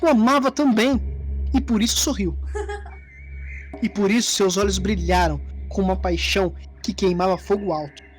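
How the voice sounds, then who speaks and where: A woman narrates a scripted story in a dramatic style. Her pitch sits in the middle range, and faint music runs beneath her voice. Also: moderate pace. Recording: music under the voice. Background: background music.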